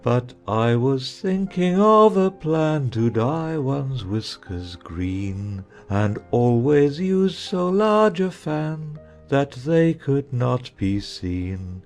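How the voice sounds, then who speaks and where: Man as very slow, dreamy reciting knight. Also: medium pitch, big pitch swings, a little mellow, soft background music, exaggerated voice. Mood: absent-minded. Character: dreamy, gentle knight reciting nonsense ballad. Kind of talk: slow theatrical verse recital.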